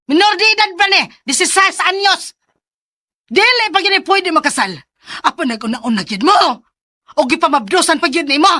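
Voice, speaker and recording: A woman playing a furious, scolding mother in a radio drama, firing sharp questions with a Cebuano accent. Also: very high voice, no room sound, studio quality, full character voice. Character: furious scolding mother of a pregnant teenage daughter. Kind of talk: Visayan radio drama acting.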